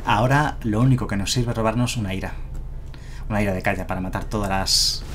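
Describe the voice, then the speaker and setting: A man's voice: fairly low pitch, a little fast, fairly bright tone, a little hiss or hum. A man in his thirties with a Madrid Spanish accent, casually thinking aloud while streaming a card game on a headset mic. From Madrid, Spain. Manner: casual, thinking aloud, self-deprecating chuckles. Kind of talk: live gameplay commentary. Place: home streaming room, indoors.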